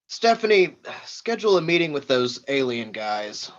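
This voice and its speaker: A man's voice: on the high side, big pitch swings, normal speed, fairly bright tone. A man acting a supporting character in a comedy table read, heard through a dull, muffled microphone. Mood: curt. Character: supporting comedy characters: uneasy technician, curt boss.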